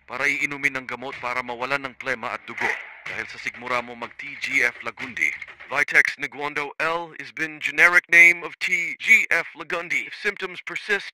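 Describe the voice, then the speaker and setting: A man with a Filipino accent reading a scripted commercial on a radio broadcast. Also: mid-range voice. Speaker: advertisement voice-over announcer. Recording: noisy, clicking radio broadcast.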